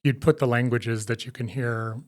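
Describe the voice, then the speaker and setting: A middle-aged man, a former priest, telling his story in an interview with many ums, restarts and quick affirmations. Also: mid-range voice, fairly even intonation, darkish tone, no room sound, clean studio sound. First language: English.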